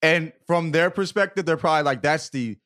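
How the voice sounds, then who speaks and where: A man's voice: a little high, fairly quick, a bit bright. A man co-hosting a casual comedy podcast, talking in profane slang and repeating phrases for emphasis. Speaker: podcast co-host.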